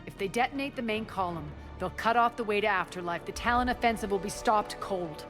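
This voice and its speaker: A woman's voice: medium pitch, fairly quick, fairly bright tone, soft background music, natural voice. A woman plays a ruthless game villainess who rules by command. Her delivery is imperious and clipped, with dry scorn in it. A dramatic score runs underneath. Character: ruthless, commanding female crime boss, cold and sardonic. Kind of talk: video game cutscene acting.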